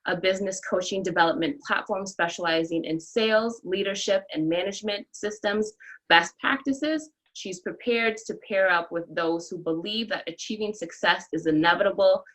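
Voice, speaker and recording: A woman's voice: mid-range voice, normal speed, fairly bright tone, low-quality recording. A woman hosting a podcast, upbeat, reading a guest introduction. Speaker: podcast host. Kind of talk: interview with a scripted guest introduction.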